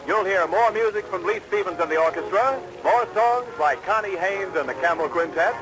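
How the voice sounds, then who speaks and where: A man's voice: high-pitched, normal speed, over music, low-quality recording. An American man reading 1940s radio advertising as an announcer, with a polished salesman's delivery. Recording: old radio broadcast, rain overlaid.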